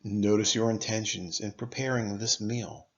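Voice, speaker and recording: A man narrating a scripted guided meditation in a measured, instructive manner, pausing deliberately between phrases. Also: lowish voice, fairly bright tone, muffled recording.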